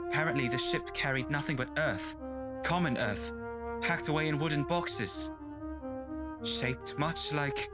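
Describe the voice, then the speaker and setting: A man as a higher-pitched, portentous radio drama narrator. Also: natural voice. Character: dramatic male narrator reporting a grim shipwreck. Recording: loud brass music under the voice.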